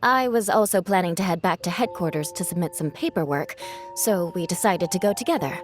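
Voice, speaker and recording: A woman's voice: lowish voice, expressive, fairly quick, lightly acted. An actress playing a dutiful young female knight officer in a video game, speaking politely and formally. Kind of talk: video game cutscene acting. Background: background music.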